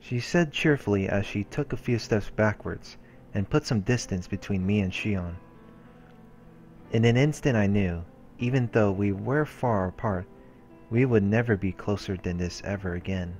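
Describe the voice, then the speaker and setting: A man with a fairly low voice reads game narration aloud in a storytelling manner. Faint music plays behind him as he hosts a let's play. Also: normal speed, a little mellow. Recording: music under the voice. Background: game background music.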